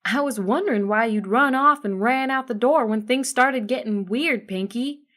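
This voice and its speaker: A woman's voice: on the high side, moderate pace, a bit bright, close to an everyday voice. A woman voicing a plain-spoken young female cartoon farm pony, direct and informal. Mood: puzzled.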